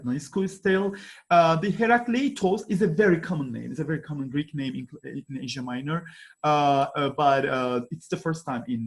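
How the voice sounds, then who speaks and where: A man with a Turkish accent presents at an online academic conference, speaking through a computer microphone. His voice sits a little high and carries a husky edge. He talks haltingly, filling gaps with "uh" and frequent apologies. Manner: halting, many fillers and apologies.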